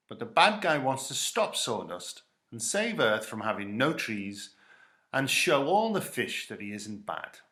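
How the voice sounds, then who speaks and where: A man's voice: medium pitch, bright, forceful tone. A man reading a children's story aloud in a dramatic storytelling style. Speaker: story reader.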